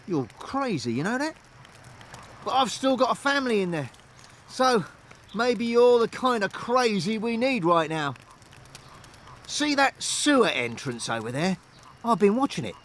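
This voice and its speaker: An actor voicing an ill, aching refugee who speaks slowly and wryly in a video game. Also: male voice, very high voice, slight background noise, heavily acted. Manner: slow, hushed, wry. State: ill, weak. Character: sick, aching male refugee, wry and desperate.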